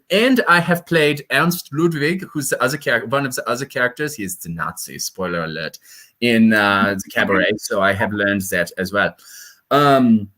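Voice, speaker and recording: Man from Colorado, young adult, bright forward tone, chatty and animated, casual interview guest. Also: medium pitch. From Colorado, USA. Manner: chatty, animated, self-deprecating with asides to listeners. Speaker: guest performer.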